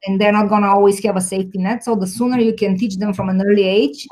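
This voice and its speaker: A woman who grew up in Bulgaria, in her forties, answers questions as an interview guest. She speaks thoughtfully, with many small pauses and restarts. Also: mid-range voice, fairly even intonation, darkish tone. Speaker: guest, technology executive.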